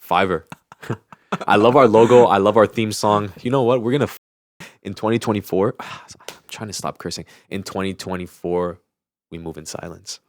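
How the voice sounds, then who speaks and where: A man's voice: lowish voice, moderate pace, no room sound. A man co-hosting a podcast in casual conversation, speaking informally and often correcting himself mid-thought. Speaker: podcast co-host.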